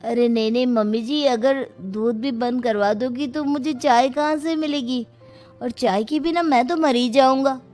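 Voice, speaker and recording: A woman's voice: high-pitched, moderate pace, darkish tone, soft background music, pitched above the performer's own voice, close to an everyday voice. A woman voicing a lazy, food-loving young son-in-law in a moral story cartoon, pleading and boastful. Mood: pleading, dismayed.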